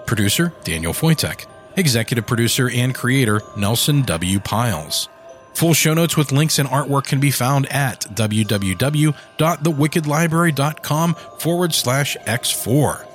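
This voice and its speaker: A man's voice: medium pitch, lively intonation, unhurried. A man hosting a podcast, reading scripted outro announcements and plugs in an informal, upbeat manner. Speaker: podcast host and producer. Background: music.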